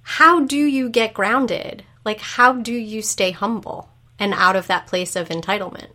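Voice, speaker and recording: Bright-voiced woman hosting an interview. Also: mid-range voice, measured pace. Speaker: podcast host, interviewer. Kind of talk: conversational interview.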